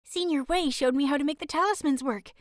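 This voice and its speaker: A woman voicing a small young boy disciple in an audiobook, eager, adoring and breathless with excitement. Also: high-pitched, fairly quick, no room sound, studio quality, pitched above the performer's own voice, lightly acted. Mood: excited.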